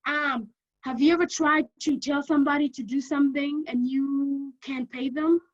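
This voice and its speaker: A woman with a Kenyan accent giving an energetic informational talk to prospective students, often checking in with "okay?". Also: high-pitched, normal speed, dull audio. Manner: energetic, keeps checking in with "okay?", repeats for emphasis. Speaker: university lecturer.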